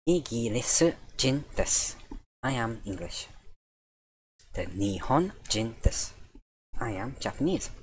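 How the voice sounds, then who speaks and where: A man's voice: lowish voice, a little hiss or hum, poor audio. A man teaching a casual vocabulary lesson over his screen, voice dry and tiring, easygoing and correcting himself as he goes. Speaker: Japanese language teacher.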